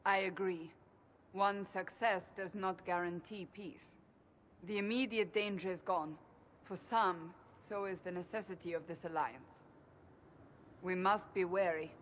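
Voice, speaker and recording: An actress playing a stern, dutiful warrior woman in a video game, speaking in a measured, formal and grave manner. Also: on the low side, steady tone, slight background noise, close to an everyday voice. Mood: grave, wary. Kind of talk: dramatic video game acting.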